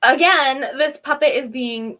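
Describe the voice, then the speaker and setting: A woman co-hosting a review show, casually recapping and commenting in an informal, animated way. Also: sits high, a little slow. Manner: informal, animated retelling with acted-out quotes. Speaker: co-host of a TV episode review show.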